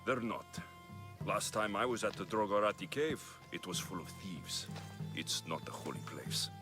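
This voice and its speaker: Game role: cocksure sellsword, wry, bright-toned, music faintly behind. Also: male voice, on the low side, animated, normal speed. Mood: dry, wry. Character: bold, wry young male Greek mercenary. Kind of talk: video game cutscene acting.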